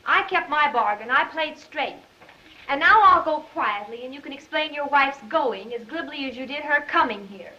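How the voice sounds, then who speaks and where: A woman's voice: high-pitched, lively intonation, moderate pace, sharp tone. An actress playing a plucky, proud young woman down on her luck, direct and self-possessed, in a 1930s film. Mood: wounded, dignified. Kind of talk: 1930s film comedy-drama acting. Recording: noisy, muffled 1930s film soundtrack.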